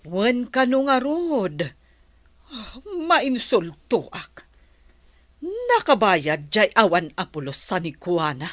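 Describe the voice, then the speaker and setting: A woman's voice: medium pitch, very animated, slow pace, fairly bright tone, no room sound. A woman playing an indignant, proud mother of a poor farming family in a radio drama. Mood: indignant, worried.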